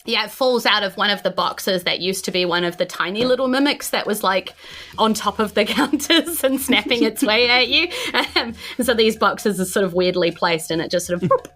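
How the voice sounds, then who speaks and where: A woman with a New Zealand accent narrating a tabletop game as game master, in an informal, halting, descriptive way. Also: medium pitch, fairly bright tone, soft background music.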